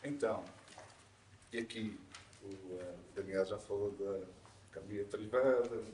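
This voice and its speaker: A man's voice: on the low side, fairly even intonation, unhurried, darkish tone. A man with a European Portuguese accent giving an informal explanatory talk, conversational and didactic, often checking in with his listeners. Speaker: speaker presenting at a community conference. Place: indoor event room, live talk. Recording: echoey room, distant mic, faint noise.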